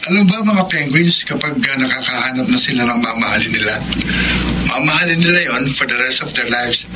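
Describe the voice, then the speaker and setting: A man's voice: medium pitch, expressive, brisk, natural voice. Noticeable ambient noise, mid-range male voice, Filipino accent, actor playing sweet earnest young suitor, sincere, wooing, romantic radio drama. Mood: romantic, playful. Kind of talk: Tagalog radio drama acting.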